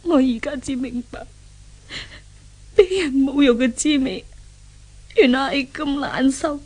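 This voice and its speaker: A woman's voice: high-pitched, steady tone, unhurried. An actress in a Cantonese radio drama playing a frightened, indignant young woman held captive, anguished and melodramatic. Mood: despairing.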